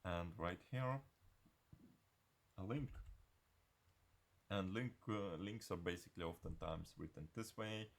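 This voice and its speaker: A man giving an unscripted software screencast tutorial in a halting, hesitant way. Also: low-pitched, vocal fry.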